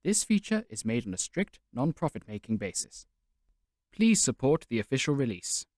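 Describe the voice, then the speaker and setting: A man's voice: mid-range voice, sing-song, normal speed, a little mellow. A man reading a formal scripted disclaimer as an announcer.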